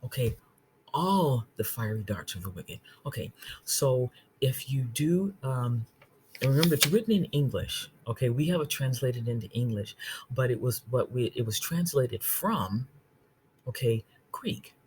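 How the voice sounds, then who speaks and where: A woman's voice: a bass voice, lively intonation, noisy background. A woman giving an informal Bible study talk, earnestly explaining verses, often checking in with "okay?" and restarting her sentences. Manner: restarts phrases and reads scripture aloud slowly. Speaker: online Bible teacher.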